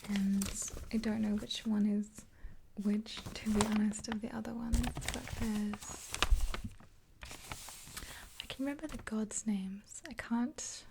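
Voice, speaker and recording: Girl in her 20s doing whispery asmr roleplay, soft n mellow, real even, up close, bit of hiss. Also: medium pitch, slow pace, long pauses, rough recording. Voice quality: breathy unvoiced whisper. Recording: hissy close recording with clicks.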